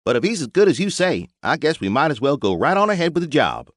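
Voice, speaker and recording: A plain-spoken bearded mechanic with a lazy country drawl, as a man puts him on, pitched well above the reader's own low voice. He sounds dry and close to the mic. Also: sing-song, fast, no room sound. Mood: wry. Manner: drawling rural dialect. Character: folksy bearded male mechanic, blunt.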